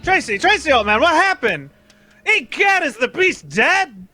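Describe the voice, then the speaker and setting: Excitable florid gentleman, old radio drama played by a man: pompous, bright, swooping, slightly noisy line. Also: extremely high pitch, measured pace, faint music behind, full character voice. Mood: shocked. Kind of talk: 1940s radio serial acting.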